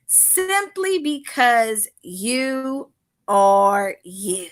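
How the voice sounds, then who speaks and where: A woman's voice: a little high, sing-song, drawn-out words, no echo, studio quality. A woman who writes children's books, reading her story aloud to kids and then talking about it in an upbeat, motivational way. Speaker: children's book author.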